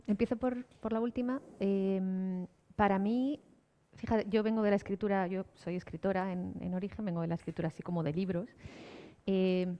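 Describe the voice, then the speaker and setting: A woman's voice: medium pitch, warm tone. A woman speaking reflectively and conversationally on a panel, often adding little tag questions. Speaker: panelist, writer and audio storyteller. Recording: reverberant hall at live event.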